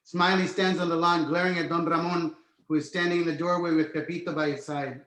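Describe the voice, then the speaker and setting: A man's voice: a little high, level tone, fairly quick, fairly bright tone. A man reading scripted screenplay stage directions as narrator, in an echoey room. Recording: roomy, echoey sound.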